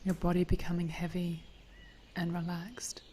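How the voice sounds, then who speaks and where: A woman leading a guided meditation in a gentle, soothing, hushed voice, with phrases trailing into breath. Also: fairly low pitch, monotone, normal speed, a little hiss or hum.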